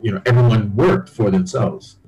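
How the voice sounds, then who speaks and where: A man's voice: fairly low pitch, normal speed, a little mellow, soft background music, poor audio. A man from Barbados, a guest on a podcast, telling stories from his upbringing in a reflective way. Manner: reflective, storytelling. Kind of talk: interview conversation.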